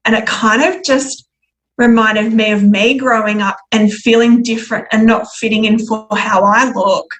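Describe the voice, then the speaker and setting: A woman's voice: on the high side, steady tone, normal speed, a bit bright, rough recording. Woman sharing a personal story, candidly. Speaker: podcast guest.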